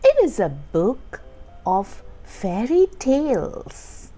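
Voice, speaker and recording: Woman, children's storytime reader, very slow pace, swooping expressive pitch, mellow tone, soft music behind. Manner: expressive, deliberate phrasing for young listeners. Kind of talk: animated read-aloud storytime for young children. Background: background music.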